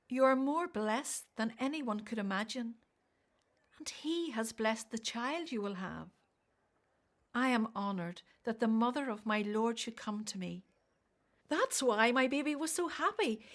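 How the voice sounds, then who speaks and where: An older expectant mother in a radio play, with a high voice. She is warm and welcoming, full of joyful exclamation, and gently teases those she loves. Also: expressive, a little slow. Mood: awed, joyful. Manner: affectionate, exclamatory, gently teasing.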